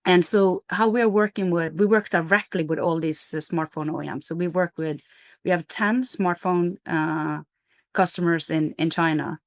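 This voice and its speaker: A woman's voice: lowish voice, fairly even intonation, normal speed, dry recording, clean studio sound. A middle-aged woman from Norway, a company CEO, explaining her business in an interview with energy, fillers and restarts.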